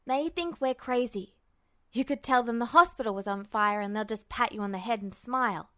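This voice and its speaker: A woman's voice: on the high side, moderate pace, sharp tone. A woman voicing an exhausted, guarded younger male patient in an audiobook story, curt, bitter and dismissive.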